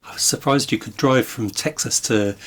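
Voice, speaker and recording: A man with an average-pitched voice interviews a guest about books. His delivery is conversational, and he frames his questions thoughtfully, with some hesitation. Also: moderate pace, a bit bright. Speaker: interviewer, podcast host.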